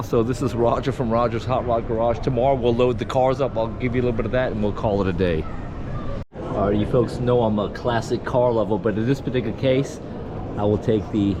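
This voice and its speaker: A man with a hoarse, raspy voice hosts a casual vlog, chatting in a folksy way to viewers while walking through a car show. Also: medium pitch. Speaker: vlog host. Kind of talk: casual walk-around vlog. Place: car show halls and grounds, indoors and outdoors. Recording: noisy venue background.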